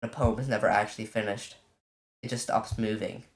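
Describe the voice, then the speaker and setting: A let's player casually commenting on a visual novel and reading its text aloud, in an informal, halting way. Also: medium pitch, steady tone. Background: game music.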